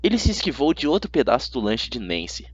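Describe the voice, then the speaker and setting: A man with a Brazilian accent reading a first-person youth fantasy novel as an amateur audiobook narrator, in an expressive, conversational storytelling way. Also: mid-range voice, fast, breathy edge, dry recording, dull audio.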